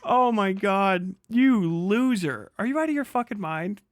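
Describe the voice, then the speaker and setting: A man giving casual, sweary livestream commentary in an exasperated, sarcastic, animated manner. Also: high-pitched, a little slow, no room sound. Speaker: livestream host reacting to a recorded conversation.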